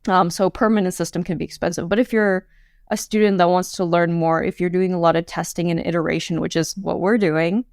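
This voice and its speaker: A young adult woman as a guest on a podcast interview, talking casually with lots of fillers and quick laughs. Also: fairly low pitch, steady tone, darkish tone, no room sound, studio quality. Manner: chatty, many fillers, laughs often. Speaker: guest, quantum hardware startup.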